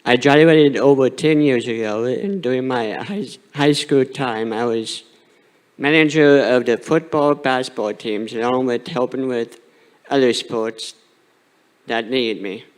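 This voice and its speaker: A man in his late twenties reads his acceptance speech in an earnest, somewhat halting way. His voice sits at an ordinary middle pitch. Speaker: award recipient.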